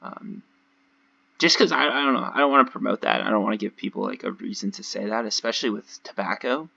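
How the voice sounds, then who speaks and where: A man with a slightly hoarse voice gives casual, rambling gameplay commentary, talking directly to his viewers in a conversational way. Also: on the low side, fast, pushed and bright, muffled recording. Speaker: gaming video creator. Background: voice-over recorded over gameplay.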